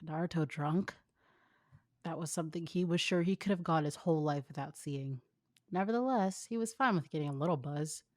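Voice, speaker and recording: Young female story reader, lowish pitch, dry close sound, casual wry narration. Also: brisk, a little mellow, no room sound. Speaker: co-host, fan fiction reader. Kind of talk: informal story narration with banter.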